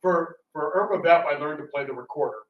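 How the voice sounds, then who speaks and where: A man with a fairly high voice chats in a rambling, storytelling way, tossing in teasing asides. The recording is very roomy, picked up from a distance, with some light noise behind him. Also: fairly quick, highs cut off. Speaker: radio theatre actor and panel speaker. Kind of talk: casual, rambling talk to an audience. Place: indoor talk in a roomy space. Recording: roomy, distant, noisy live room recording.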